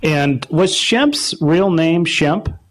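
A man hosting a live interview, asking questions in a halting, conversational way. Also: fairly high pitch, lively intonation. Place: club meeting before an audience.

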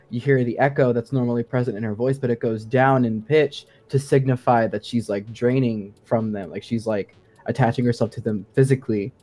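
Bright-voiced man explaining his craft casually. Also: medium pitch. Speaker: guest sound designer. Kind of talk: casual podcast discussion.

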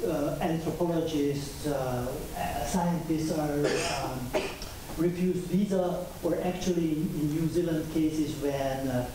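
A middle-aged man delivers an academic keynote, pausing often on fillers as he moves about the stage. His fairly high voice is picked up from far off in a very echoey lecture hall, with clear background noise. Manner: halting, many filler pauses, walks about gesturing. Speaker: keynote speaker. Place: large lecture theatre with audience. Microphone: room mic from afar. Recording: echoey lecture hall, distant mic, noisy.

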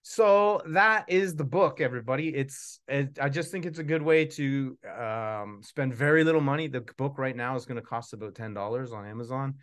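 A man's voice: medium pitch, moderate pace, hardly any pauses, fairly bright tone. Informal, rambling man in his forties. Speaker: puppeteer and children's book author. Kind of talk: casual live book launch chat. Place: small indoor room with puppet stage.

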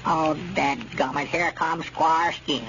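An actor playing an excitable, grumbling old country fellow in radio comedy, fussy and repetitive, with a Southern American accent. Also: male voice, fairly high pitch, slow. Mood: conspiratorial. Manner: fussy, hesitant, repetitive rustic talk. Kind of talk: 1930s-40s radio comedy acting. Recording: muffled, noisy old radio recording.

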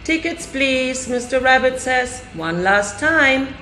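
A woman's voice: sits high, slow, echoey room, faint music behind, low-quality recording. A middle-aged woman, a nursery teacher, reading a picture book aloud to children and raising her voice for the characters. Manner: lively storybook reading with raised voices for characters. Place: classroom indoors.

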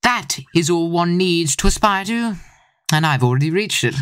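A teenage boy voicing a vain, pompous gentleman detective with theatrical delivery in an amateur audio play. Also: high voice, expressive, normal speed, clear, resonant tone, no room sound, clean studio sound. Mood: smug.

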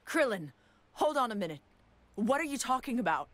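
A woman playing a firm, guarded female android in an anime game, with brisk, insistent delivery. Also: a little high, big pitch swings, no echo. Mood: defensive. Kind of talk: anime game dub acting.

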